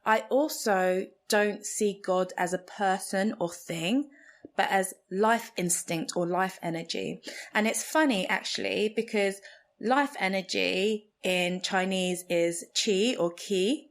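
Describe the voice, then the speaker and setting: A woman from Nigeria whose first language is Igbo, hosting a solo podcast and thinking aloud slowly and carefully. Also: mid-range voice. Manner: slow, thoughtful, searching for words. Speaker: podcast host. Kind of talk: reflective solo podcast monologue.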